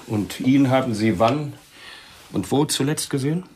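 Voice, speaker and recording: An actor playing a hard-nosed homicide inspector in a radio crime drama, brusque and insistent, with a rough, husky voice. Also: male voice, medium pitch, normal speed, a little mellow, slight background noise, lightly acted. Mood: brusque, probing. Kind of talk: German radio play acting.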